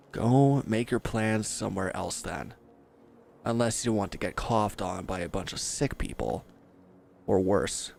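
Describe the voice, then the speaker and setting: A man's voice: lowish voice, creaky, darkish tone, slight background noise. A man playing a bitter, proud and resentful injured villain in a dramatic audio roleplay, speaking with sardonic, confrontational edge. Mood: cold, dismissive.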